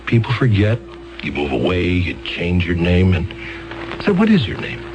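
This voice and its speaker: An actor playing a fumbling, wordy court-appointed lawyer who hesitates, stammers and clears his throat, in a 1970s radio drama. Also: low voice, wildly swooping pitch, normal speed, over music, poor audio.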